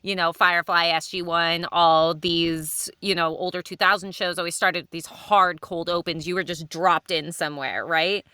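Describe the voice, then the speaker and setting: A woman's voice: lowish voice, fairly even intonation, moderate pace, few pauses, bright, forceful tone, clean tone, dry recording. A woman hosting a casual podcast chat with playful, teasing banter, often checking in with "right?" and "you know". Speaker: podcast host.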